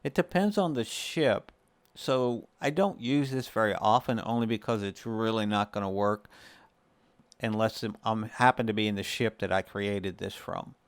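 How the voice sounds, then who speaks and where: A man's voice: lowish voice, moderate pace, clean tone, no room sound. A man hosting a casual gaming tutorial, explaining things step by step in a conversational way. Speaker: video creator and host.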